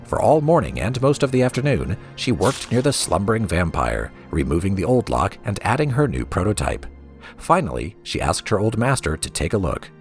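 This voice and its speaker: Deep guy reading a fantasy story out loud, super swoopy and dramatic, bit of music behind. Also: sing-song, moderate pace. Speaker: lore reader for a gaming let's play.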